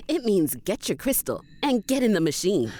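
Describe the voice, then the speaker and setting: An actress playing a blunt, impatient, brisk female comrade in a sci-fi audio drama. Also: mid-range voice, big pitch swings, dark tone.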